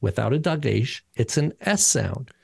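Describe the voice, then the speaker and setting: A man's voice: medium pitch, animated, unhurried, creaky, slightly rough, no room sound, studio quality. A man co-hosting a podcast who explains letters and sounds in a casual, lecturing way. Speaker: podcast co-host and teacher.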